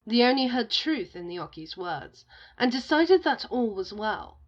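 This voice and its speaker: A woman's voice: a little high, very animated, unhurried, lo-fi sound. A woman narrating a folktale as a storyteller, in a scripted storybook style.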